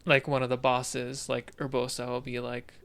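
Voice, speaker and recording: A man's voice: mid-range voice, completely flat delivery, moderate pace, a bit bright, clean tone. A man co-hosting a casual discussion, thinking aloud a bit hesitantly as he talks. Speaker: podcast co-host. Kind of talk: casual discussion of video games.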